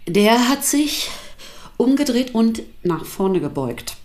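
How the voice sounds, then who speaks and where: An actress playing a defensive wife in a radio drama, with speech, articulate and given to dramatic pauses. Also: female voice, a little high, very animated, darkish tone. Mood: insistent, defensive. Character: defensive wife recounting her husband's violent confession.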